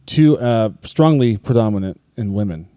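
A man with a low, mellow voice talks openly in a relaxed podcast chat. He rambles a little and restarts his thoughts as he confesses his own struggles. The sound is dry and close. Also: moderate pace, clear, resonant tone, dry recording. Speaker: podcast co-host at a church. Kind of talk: casual chat.

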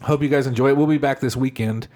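A man's voice: medium pitch, steady tone, fast, darkish tone. A young man co-hosting a podcast, chatting loosely, rambling and swearing as he tells stories. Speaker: podcast co-host. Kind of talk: casual chat.

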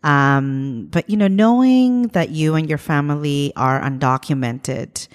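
A woman's voice: sits low, measured pace, big-room sound. A woman in her thirties from Ecuador, answering interview questions earnestly and reflectively, with frequent ums and uhs. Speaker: guest, immigrant rights leader.

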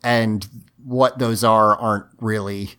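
A man's voice: lowish voice, fairly even intonation, slow pace, sharp tone, clean tone. A man hosting a casual podcast discussion, talking a lot and emphatically while his voice is giving out. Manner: emphatic, talkative. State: losing his voice. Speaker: podcast host.

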